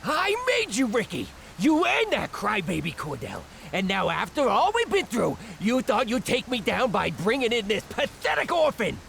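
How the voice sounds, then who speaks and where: An actor playing a swaggering, taunting crime boss in a video game, theatrical and vicious. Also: male voice, high-pitched, wildly swooping pitch, normal speed, vocal fry, few pauses, a bit bright, slight background noise, exaggerated voice. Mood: scornful rage. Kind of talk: video game villain acting.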